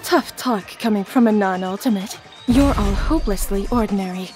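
A woman's voice: mid-range voice, moderate pace, a little mellow, faint music behind, lightly acted. A woman voicing a gloating, haughty and volatile teenage villainess in a theatrical anime fan dub. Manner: theatrical, taunting.

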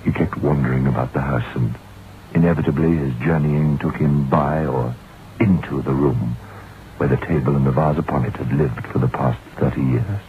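Haunted male writer, very deep, grave and fearful, vintage radio play, thin noisy old recording. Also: steady tone, normal speed, narrow, tinny audio. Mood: grave, brooding. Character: haunted, anxious male novelist narrating his ghost story. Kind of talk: old-time radio drama acting.

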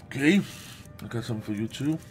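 A man's voice: medium pitch, animated, fast, over music. A man giving casual let's play commentary as host, informal and sweary, thinking aloud. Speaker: gaming video host.

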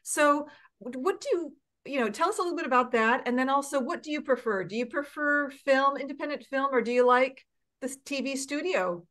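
Host, middle-aged woman. Fairly high pitch, gushing and complimentary, casual interviewing via video call. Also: brisk, fairly bright tone. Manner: effusive, complimentary. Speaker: talk show host. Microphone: computer video call.

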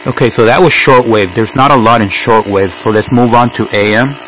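A man's voice: medium pitch, steady tone, brisk, fairly bright tone, low-quality recording. A man casually narrating a gadget demonstration as a reviewer, unhurriedly explaining each step aloud. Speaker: product reviewer. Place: indoors at home. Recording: faint background noise.

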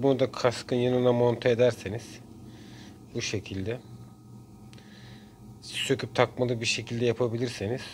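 A man giving a casual hands-on tutorial in short phrases with frequent pauses. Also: medium pitch, darkish tone. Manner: halting, pausing between short phrases. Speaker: DIY video maker. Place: indoors at a workbench. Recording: clear background noise, room ambience.

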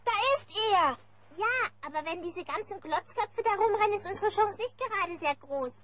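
Bickering cartoon bear kid, piercingly high and bright, excitable. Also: female voice, moderate pace. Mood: alarmed. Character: squabbling, excitable young cartoon bear cubs. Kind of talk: German children's cartoon audio drama.

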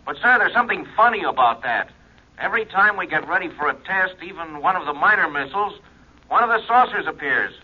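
An actor in a 1950s radio drama playing a dutiful male security officer giving clipped reports in a husky voice. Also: high voice, animated, fairly quick. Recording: dull old radio recording.

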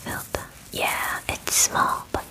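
A young woman whispers very slowly in a breathy ASMR roleplay. She is gentle and soothing, often repeating little words softly as she plays an attentive groomer close to the mic. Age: young adult. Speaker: ASMR performer playing a spa groomer. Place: small indoor room. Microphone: close mic, speaking near it.

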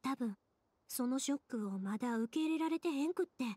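A woman's voice: high-pitched, lively intonation, brisk, dark tone, dry recording. An actress playing a kind-hearted young woman in an anime game, speaking earnestly and expressively with a Kansai accent. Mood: reassuring, thoughtful. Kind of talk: anime game dialogue acting.

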